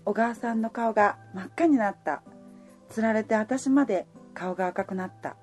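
女性が演じる 恋に揺れる働く女性の語り. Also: female voice, a little high, moderate pace, darkish tone, soft background music, lightly acted. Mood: warm, reflective. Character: romantic working woman, easily flustered, telling her own story. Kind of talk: radio drama dialogue and first-person narration.

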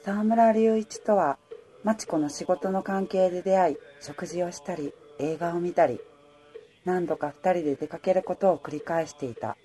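A wistful young-hearted heroine acted by a woman tells her radio love story softly and reflectively. The voice is dark and gently mellow, sitting a little low, with music playing behind it. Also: moderate pace, close to an everyday voice. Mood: wistful, grieving. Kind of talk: radio drama narration and dialogue.

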